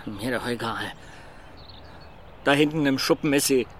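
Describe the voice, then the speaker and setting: An actor playing a bitter, resentful soldier's son in a radio crime drama, curt, scornful and aggrieved. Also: male voice, mid-range voice, unhurried, a bit bright, a little hiss or hum, close to an everyday voice.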